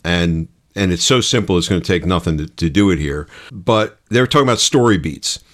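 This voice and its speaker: Deep-voiced man chatting casually. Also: a little fast, fairly bright tone. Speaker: co-host of a writing show. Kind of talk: casual conversational talk about writing craft.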